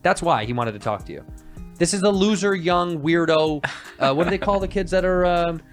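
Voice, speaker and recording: A man's voice: fairly high pitch, animated, a little fast, soft background music. Teasing, profane male podcast co-host. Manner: teasing, loud outbursts, profane. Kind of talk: casual comedic banter.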